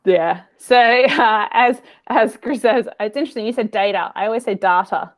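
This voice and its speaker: A woman's voice: a little high, normal speed, no room sound. A woman in her thirties with an Australian accent, hosting an online webinar in a chatty, filler-heavy way over a video call. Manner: chatty, friendly, lots of um and uh. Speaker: webinar host. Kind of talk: casual presenting with many fillers.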